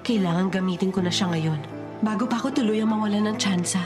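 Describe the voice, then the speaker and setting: A woman's voice: mid-range voice, fast, loud music behind the voice, close to an everyday voice. An actress with a Filipino accent playing a wronged, sly ghost woman in a radio drama. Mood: secretive, determined. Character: wronged dead woman haunting her impostor, sly, insistent.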